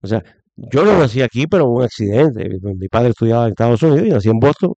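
A man's voice: mid-range voice, animated, fast, mellow, no echo, highs cut off. Emphatic middle-aged Puerto Rican man. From Puerto Rico. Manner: opinionated, emphatic, repeats phrases for stress. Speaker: interview guest giving political opinion. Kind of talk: conversational political commentary.

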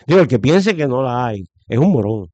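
A Puerto Rican man with a Puerto Rican accent, giving forceful opinions as a guest, with lots of fillers and restarts. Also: mid-range voice, sing-song, brisk, no room sound. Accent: Puerto Rican Spanish. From Puerto Rico. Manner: emphatic, many fillers and restarts. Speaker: guest commentator. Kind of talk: opinionated conversational commentary.

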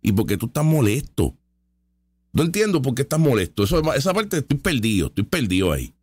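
A man rants sarcastically as he comments live on clips. His pitch sits fairly high and jumps around a lot. The sound is dry and close, with no room around it. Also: sing-song, fairly quick, darkish tone, husky, no room sound. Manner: sarcastic, heated and argumentative. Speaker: online commentary host.

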